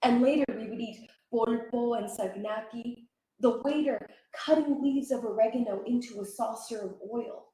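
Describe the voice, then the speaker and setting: A woman, an actor, giving a dramatic reading of poetry with measured, expressive phrasing. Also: fairly high pitch. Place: indoor library room at a live event. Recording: echoey room.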